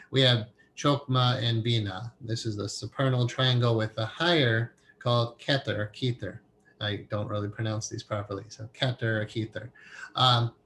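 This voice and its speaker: Man, meditation teacher, mid-pitched voice, relaxed explaining, faint background noise. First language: English. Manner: relaxed and explanatory, thinking aloud with fillers. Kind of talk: online class lecture.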